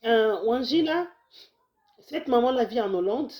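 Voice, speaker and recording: A woman's voice: a little high, lively intonation, measured pace, dull audio. A Congolese woman who has a cold, talking casually to her viewers with many restarts and urging them to share her video. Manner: warm exhortations, frequent restarts, mixes in Lingala phrases. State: slightly hoarse with a cold. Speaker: health video host. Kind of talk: casual talk to camera. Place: lives in the United States.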